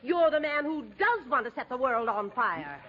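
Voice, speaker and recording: A woman's voice: high voice, very animated, moderate pace, very noisy. An actress playing an indignant, haughty lady in a 1940s radio comedy. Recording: dull old radio recording, crowd behind.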